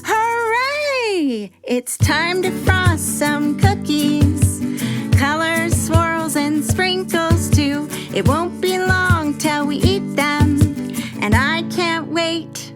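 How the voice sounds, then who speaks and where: A woman voicing an earnest, high-pitched little girl. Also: extremely slow, loud music behind the voice, exaggerated voice. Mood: joyful singing. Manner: excited, sing-song, wide-eyed. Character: eager little girl, earnest and easily crushed. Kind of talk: children's storybook character voice.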